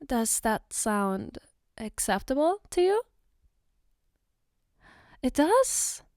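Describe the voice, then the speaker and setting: Woman acting a slow, gentle, hesitant girlfriend, high voice. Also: big pitch swings, no echo, clean studio sound, full character voice. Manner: hesitant, gentle, reassuring, halting. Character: caring, flustered young girlfriend comforting her partner. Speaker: ASMR roleplay voice performer. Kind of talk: ASMR roleplay, spoken to the listener.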